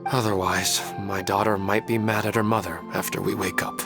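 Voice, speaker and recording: A man playing a polite, resigned ordinary father in a video game, speaking in a gentle, measured, self-effacing way. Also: fairly low pitch, level tone, over music, lightly acted. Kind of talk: English video game voice acting.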